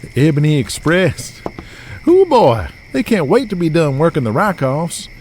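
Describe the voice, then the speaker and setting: A man's voice: fairly high pitch, big pitch swings, a little slow, a little mellow, slight background noise, pitched above the performer's own voice. A man playing a folksy, teasing country wrestling manager with a Southern US accent and a drawling, colorful way of talking, in an audio drama. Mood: amused, excited. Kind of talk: audio drama character acting.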